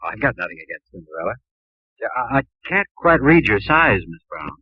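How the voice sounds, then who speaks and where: An actor playing an earnest, friendly young shoe store clerk, polite and animated, in a 1950s radio drama with dated broadcast sound. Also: male voice, on the low side, brisk, breathy edge, no room sound. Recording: dull vintage radio broadcast.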